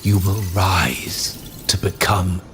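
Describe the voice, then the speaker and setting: A man's voice: deep voice, takes it slowly. A man voicing a stern, commanding patriarch of a powerful family in solemn video game dialogue. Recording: music behind the voice.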